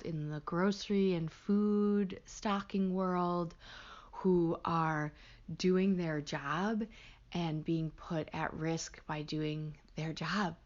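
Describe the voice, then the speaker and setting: Woman meditation guide, very unhurried, gentle hushed delivery, frequent pauses, slightly muffled lo-fi recording. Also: lowish voice. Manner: gentle, hushed, pausing between short phrases. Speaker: meditation teacher.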